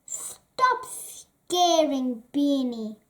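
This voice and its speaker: A firm, protective billy goat brother from a children's storybook, voiced by a woman. He speaks slowly and steadily, stern but reassuring, in a high register. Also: big pitch swings, full character voice.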